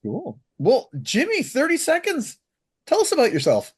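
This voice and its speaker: A man hosting a radio interview, prompting guests with brisk, upbeat questions. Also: extremely high pitch, wildly swooping pitch. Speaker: radio show host.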